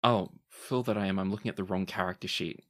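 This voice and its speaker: A man's voice: sits low, fairly even intonation, fast. A man acting as game master, improvising narration and rulings for a tabletop roleplaying game.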